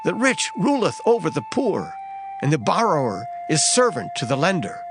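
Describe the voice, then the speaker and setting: A man's voice: on the high side, wildly swooping pitch, normal speed. A man reading scripture for a dramatized audio Bible in an emphatic, formal, dramatic way. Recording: loud music under the voice. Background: prominent background music.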